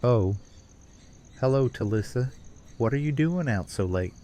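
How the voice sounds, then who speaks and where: A man playing a careworn, reasonable husband in an audio drama. His mid-pitched voice is serious and measured, with a weary, troubled air. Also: lively intonation, dark tone, close to an everyday voice.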